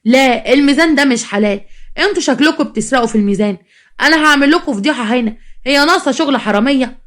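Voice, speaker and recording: A woman's voice: rapid-fire, fairly bright tone. A woman storyteller voicing a quarrelsome, accusing customer at a vegetable stall, with an Egyptian accent. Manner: shrill, accusatory, haggling. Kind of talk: dramatized storytelling.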